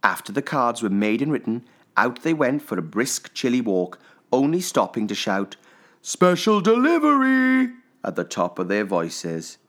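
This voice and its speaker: A man narrating a children's story expressively, with theatrical phrasing and deliberate pauses. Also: on the low side, expressive, a bit bright.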